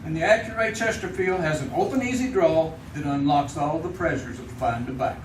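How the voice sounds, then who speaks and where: Over clear noise in an echoey hall, a man plays a vintage radio announcer. His fairly high voice delivers a sales pitch in a polished fifties style. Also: fairly quick, lightly acted. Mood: smooth selling. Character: smooth-selling 1950s radio cigarette announcer. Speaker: commercial announcer. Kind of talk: 1950s radio advertisement reading. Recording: echoey live stage, distant, noisy.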